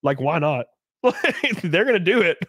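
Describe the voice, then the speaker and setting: A man in his thirties hosting a casual live stream from a small home room, close on a boom mic, joking crudely and sounding congested from a cold. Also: big pitch swings, moderate pace, a bit bright, no echo. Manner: crude, joking, laughs often. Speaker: livestream host. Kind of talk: casual live-stream chat reading donations. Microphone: studio mic on boom arm, close.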